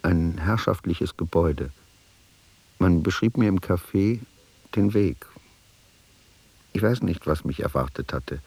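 An actor playing a reflective man who narrates an uneasy reunion in a literary radio play, measured and sombre. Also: sits low, a little mellow, breathy edge, no echo, heavily acted.

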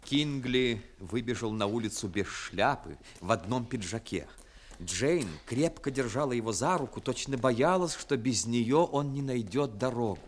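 Male narrator for a vintage radio play, mid-pitched, scripted storytelling read. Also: lively intonation, measured pace.

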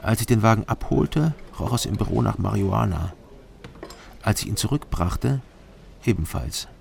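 Creaky-voiced, weary male investigator. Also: lowish voice, lively intonation, moderate pace, a little hiss or hum. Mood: sober narrating. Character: weary, disillusioned East German male investigator telling his story. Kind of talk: radio crime drama acting and terse narration. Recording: studio drama with music behind.

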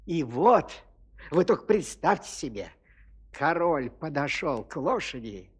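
A male narrator of a children's fairy-tale audio play. His voice is fairly high and husky, with lively rises and falls. He confides in listeners playfully, like a practised storyteller. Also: a little slow.

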